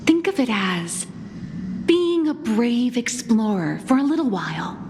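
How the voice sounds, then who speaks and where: An actress playing a kindly woman in a video game who gently coaxes, as if telling a child a story. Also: on the high side, very animated, measured pace. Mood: gentle, coaxing. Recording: long reverb, background ambient noise.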